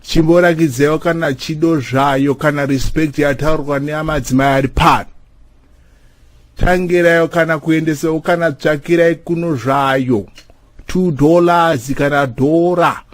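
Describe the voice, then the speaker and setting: A man's voice: fairly high pitch, no room sound, lightly acted. A man playing a preachy church elder in a comedy cartoon, exhorting in Shona with a repetitive, sermon-like delivery. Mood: urging.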